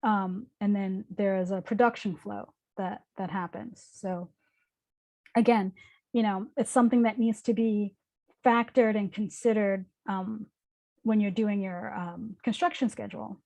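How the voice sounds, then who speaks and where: A New York-born woman in her forties talks through audience questions after a lecture. She speaks at a middle pitch and keeps circling back with "um" and "you know" as she hunts for words. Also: steady tone, a little slow, warm tone. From New York, the Bronx. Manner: hesitant, many fillers and restarts. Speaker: guest lecturer, building conservator. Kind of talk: casual Q&A answers.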